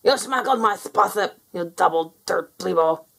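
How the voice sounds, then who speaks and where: A woman voicing an excitable, indignant little alien monster from a storybook, exclaiming and quick to quarrel. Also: lowish voice, vocal fry, slightly rough, exaggerated voice. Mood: angry. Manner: exclaiming, squabbling.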